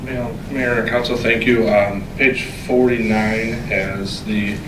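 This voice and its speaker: A man's voice: fairly low pitch, steady tone, slow. A man giving an informal spoken update to a city council as a staff member. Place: indoor council meeting room. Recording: echoey room, distant mic, background noise.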